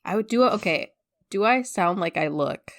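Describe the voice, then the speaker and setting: A woman's voice: medium pitch, expressive, normal speed, a bit bright, no room sound, clean studio sound. A woman co-hosting a podcast in casual chat, telling stories with animation and laughing a lot. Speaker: podcast guest co-host. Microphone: headphones, studio mic.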